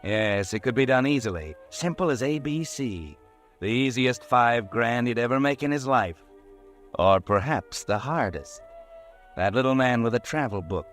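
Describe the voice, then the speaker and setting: A man's voice: mid-range voice, lively intonation, normal speed. A man narrating a 1940s radio mystery in a brooding, second-person manner. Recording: old radio broadcast with music behind.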